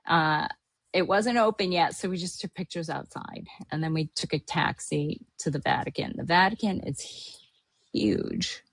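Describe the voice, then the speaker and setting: A woman's voice: low voice, normal speed, no room sound. Chatty middle-aged woman from Hawaii. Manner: chatty, rambling with many fillers and restarts. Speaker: podcast host. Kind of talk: casual chat recapping a trip.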